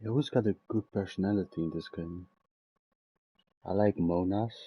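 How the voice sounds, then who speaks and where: A young man giving casual, halting gameplay commentary as he thinks aloud. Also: sits low, lo-fi sound, a little hiss or hum. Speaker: game streamer.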